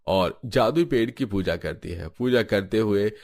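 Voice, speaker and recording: Low-voiced male cartoon story narrator. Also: measured pace, darkish tone, a little hiss or hum.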